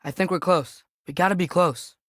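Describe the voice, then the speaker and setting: A man's voice: high-pitched, moderate pace, a bit bright, dry recording, studio quality. A young man playing an earnest, headstrong teenage boy adventurer in a video game, with a light youthful voice and a casual, hesitant way of talking. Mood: focused, hopeful. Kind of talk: video game character acting.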